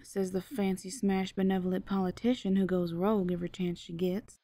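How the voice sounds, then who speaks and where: A woman's voice: mid-range voice, flat delivery, brisk, dark tone, dry recording, studio quality, close to an everyday voice. A woman playing a sardonic, exasperated small-town mother in an audio drama, blunt and sarcastic.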